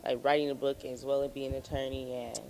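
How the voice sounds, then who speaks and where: A woman hosting a casual interview, playful and quick to laugh. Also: extremely low pitch, little pitch movement, darkish tone, slight background noise, rough recording. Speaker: host.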